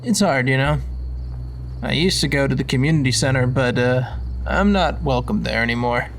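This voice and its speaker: A man's voice: medium pitch, moderate pace, slight background noise, lightly acted. A man playing a humble, down-on-his-luck drifter and recovering addict in a video game, speaking in a hesitant, confessional way. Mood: regretful, confessional. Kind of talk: video game character dialogue.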